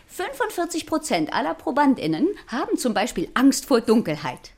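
An actress playing a flustered, nerdy expert lecturing children in stilted technical language in a radio play. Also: female voice, high voice, wildly swooping pitch, normal speed, a little mellow, exaggerated voice. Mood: eager lecturing. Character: flustered, nerdy woman expert teaching children about fear.

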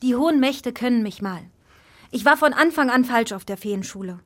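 A failed fairy in a children's radio play, a defiant misfit with no time for sentiment. She talks curt and dry in a fairly high voice. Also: expressive, normal speed. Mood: gruff.

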